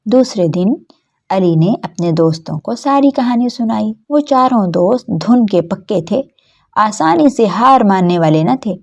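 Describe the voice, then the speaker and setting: A woman's voice: mid-range voice, animated, creaky, a little mellow, lo-fi sound. A woman storyteller reading scripted narration of a story for children.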